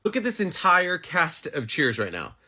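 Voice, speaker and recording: Husky, animated man in his thirties. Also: fairly high pitch, normal speed. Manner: emphatic, blunt, sweary. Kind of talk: casual emphatic social media rant.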